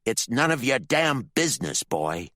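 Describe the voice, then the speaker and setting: A man's voice: medium pitch, sing-song, a little slow, pushed and bright, no room sound, studio quality, voice pushed up. A man voicing a sneering villainous reverend father. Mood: menacing. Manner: sneering, contemptuous, threatening. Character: cruel scheming father, a reverend.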